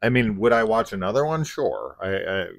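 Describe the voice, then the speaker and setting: A man's voice: lowish voice, big pitch swings, normal speed, gravelly. A man co-hosting a horror review show, talking casually and conversationally about films. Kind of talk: casual conversational film discussion.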